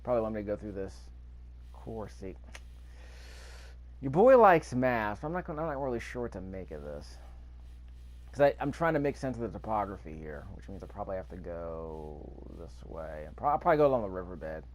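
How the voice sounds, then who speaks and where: A man with a fairly low voice talks casually through a video game as he plays. He thinks out loud, grumbles a little and keeps asking himself questions. Faint game ambience sits behind his voice. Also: very animated, fairly quick, a little hiss or hum. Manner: thinking aloud, mildly grumbling, rhetorical questions. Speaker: video game player narrating a playthrough. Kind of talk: casual gameplay commentary.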